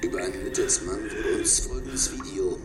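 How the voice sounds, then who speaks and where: An actor playing a solemn, ominous narrator of a scripted sensational video report in a radio drama. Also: male voice, low-pitched, normal speed, in a whisper, close to an everyday voice. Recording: echoey playback with music.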